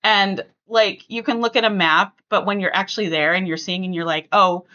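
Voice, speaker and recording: A woman hosting a casual family podcast, chatting conversationally and thinking out loud as she talks. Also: medium pitch, fairly even intonation, moderate pace, dull audio. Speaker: podcast host.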